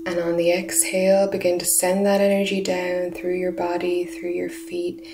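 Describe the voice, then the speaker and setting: A woman leading a guided meditation in a soft, soothing, breathy voice, with short unhurried phrases. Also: on the low side, music in the background. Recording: roomy echo, steady humming background noise. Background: humming sound bath tones.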